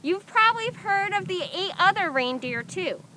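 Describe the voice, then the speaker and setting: High, bright-voiced woman hosting storytime. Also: moderate pace, rough recording. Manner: expressive, addressing children directly. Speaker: storytime host. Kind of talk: presenting and reading aloud for children. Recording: background noise.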